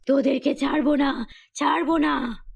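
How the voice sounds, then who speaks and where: A woman's voice: sits high, steady tone, a little slow, creaky, heavily acted. A woman voicing a vengeful wicked witch in a cartoon fairy tale, with a menacing, theatrical delivery.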